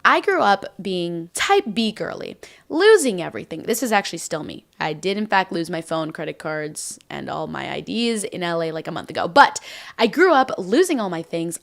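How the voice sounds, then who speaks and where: A woman in her twenties hosting her own podcast, talking casually and chattily with many fillers. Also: medium pitch. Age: 20s. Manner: chatty, bubbly, lots of "like" fillers. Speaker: podcast host. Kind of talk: casual solo podcast chat.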